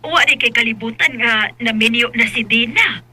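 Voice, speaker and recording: A woman's voice: a little high, normal speed, pushed and bright, breathy edge, exaggerated voice. A woman playing a loving, fussing mother in an amateur radio drama. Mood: tender. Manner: tender, fussing. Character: loving mother of a grown son.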